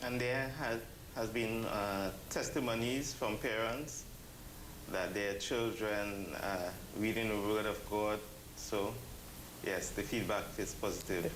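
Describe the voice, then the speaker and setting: A man answering as an interview guest, halting and phrase by phrase. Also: lowish voice, steady tone. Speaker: interview guest, event committee member. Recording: roomy echo, faint ambient noise.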